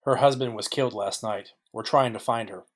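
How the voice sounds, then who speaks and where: A man's voice: mid-range voice, a little fast, natural voice. A man playing a dry, wry, hardboiled private detective, narrating and questioning in film noir style. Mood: brusque, businesslike. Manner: deadpan, wry.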